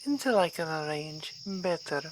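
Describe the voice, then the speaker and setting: A woman's voice: sits low, lively intonation, moderate pace, background noise. A woman playing a poised, gracious vampire baroness in an ASMR roleplay, speaking in formal, courteous phrases. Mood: gracious, composed.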